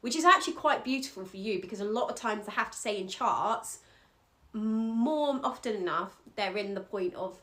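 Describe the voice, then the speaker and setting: A woman's voice: mid-range voice, lively intonation, normal speed, sharp tone. Earnest Scottish woman reading a chart. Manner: encouraging, earnest, loose fillers and restarts. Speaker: astrologer.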